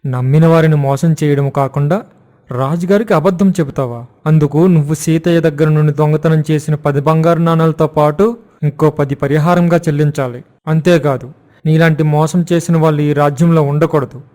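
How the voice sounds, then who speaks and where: A man playing a stern, commanding king who passes judgement in an animated moral story. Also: fairly high pitch, normal speed, natural voice. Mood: condemning.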